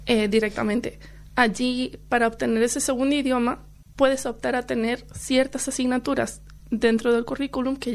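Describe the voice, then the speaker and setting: A woman's voice: on the high side, darkish tone. A young woman from Chile, a university student, chatting as a guest on a studio radio show, laughing often between fillers. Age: young adult. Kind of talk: casual radio interview. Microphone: studio headphones and mic.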